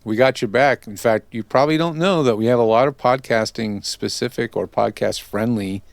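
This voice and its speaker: A man's voice: mid-range voice, normal speed, creaky, no echo. A man hosting a casual interview, chatting in an easygoing, joking way. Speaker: interview host and audio consultant.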